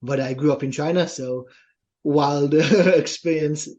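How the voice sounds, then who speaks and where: A man's voice: fairly high pitch, measured pace, a little mellow. A young man from Israel with an Israeli accent, a fitness trainer telling his story earnestly as a podcast guest. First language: Hebrew. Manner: earnest storytelling, often adds "right?". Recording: dull, lo-fi sound.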